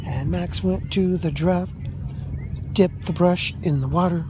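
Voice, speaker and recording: A man's voice: sits high, measured pace. An older man improvising a story for a young child, in simple repetitive phrases with questions to the listener. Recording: noisy ambient recording with vehicle sounds.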